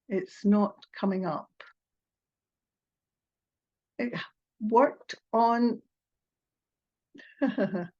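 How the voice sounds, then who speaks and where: An older woman from Scotland with a Scottish accent softened by Australian tones, a therapist presenting a webinar with hesitant, apologetic talk full of fillers. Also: mid-range voice. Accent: Scottish, partly Australian. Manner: hesitant, apologetic, with filler sounds and little laughs. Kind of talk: online presentation. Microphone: video call. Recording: muffled video call audio.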